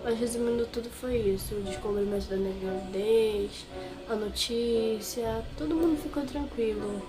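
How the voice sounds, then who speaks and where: A woman's voice: a little high, normal speed, low-quality recording. A young woman from Brazil with a Brazilian Portuguese accent, telling a personal story to her viewers in a chatty, rambling way full of fillers. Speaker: vlogger. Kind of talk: casual personal storytelling to camera. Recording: clear background music under the voice.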